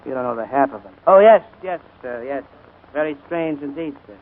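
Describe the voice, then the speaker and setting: Brusque, exasperated butler, wisecracking radio comedy style, higher-pitched, narrow old broadcast sound. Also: male voice, animated, fairly quick. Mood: flustered, evasive. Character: gruff, harried male butler, bossy and exasperated older brother. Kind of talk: 1940s radio comedy acting. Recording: scratchy, narrow-band 1940s radio broadcast.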